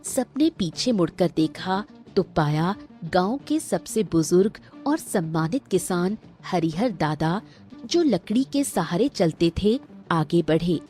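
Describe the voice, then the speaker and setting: A woman's voice: on the low side, mellow. A woman reading scripted narration for a children's story.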